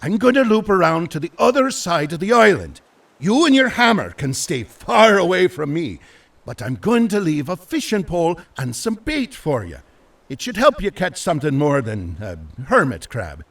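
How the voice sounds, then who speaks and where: An actor playing a gruff but kindly old fisherman in a fantasy audio drama, with a folksy, muttering, rustic way of talking. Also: fairly high pitch, big pitch swings, moderate pace, a bit bright, distant mic, heavily acted. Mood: grudgingly kind.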